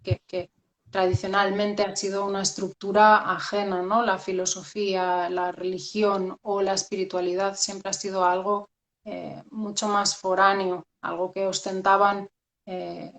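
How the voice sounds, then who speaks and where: Flat-voiced woman musing on astrology. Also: mid-range voice. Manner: hesitant, many fillers and restarts. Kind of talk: reflective conversational talk.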